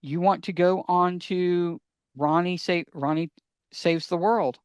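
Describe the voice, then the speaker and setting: A man hosting a casual fan podcast, talking enthusiastically and sometimes haltingly as he gives recommendations. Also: high-pitched, steady tone, clean tone, no echo. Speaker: podcast host.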